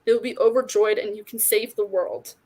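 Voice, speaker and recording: A young male satyr from a storybook, earnest and distressed as a woman reader voices him. He pleads in halting phrases, with an anxious, insistent edge. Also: medium pitch, fairly even intonation, darkish tone, close to an everyday voice. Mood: urgent pleading.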